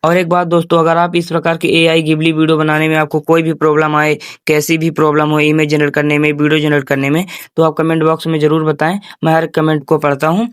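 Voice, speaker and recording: A man with a fairly high voice guides viewers through steps as a tutorial host. His delivery is informal but very even in tone, with repeated instructional phrases. The voice-over sounds close and dry. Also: quick pace, hardly any pauses, smooth, dry recording. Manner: repetitive instructional phrasing, addresses viewers as friends. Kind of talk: informal step-by-step screen tutorial.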